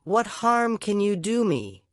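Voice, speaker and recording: Narrator doing a blustering, brutish carter, swooping pitch. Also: very animated, slow pace, no room sound, clean studio sound. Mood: scornful grumbling. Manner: blustering, wailing exclamations. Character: brutish, grumbling, self-pitying male carter.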